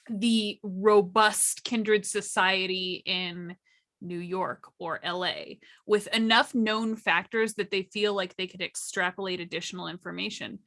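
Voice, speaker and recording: A woman chatting as a workshop panelist, explaining things casually and thoughtfully with pauses mid-sentence. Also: medium pitch, sharp tone. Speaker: workshop panelist and game storyteller.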